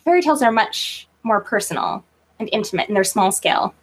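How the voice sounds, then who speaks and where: A woman's voice: medium pitch, very animated, brisk, breathy edge. A woman chatting casually as a guest author in an interview, informal and self-deprecating in manner.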